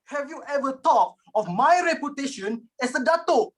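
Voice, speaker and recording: A man's voice: very high-pitched, animated, normal speed, fairly bright tone. A man playing a wealthy, status-proud father, scornful and commanding, in a dramatic reading. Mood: outraged.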